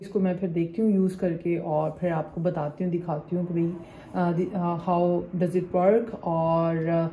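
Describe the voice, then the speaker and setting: A woman's voice: on the low side, level tone, a little slow, highs cut off. A young woman, chatting casually to her phone camera for a vlog and mixing in English phrases. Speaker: vlogger. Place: outdoors on a bus in city traffic. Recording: loud traffic noise behind.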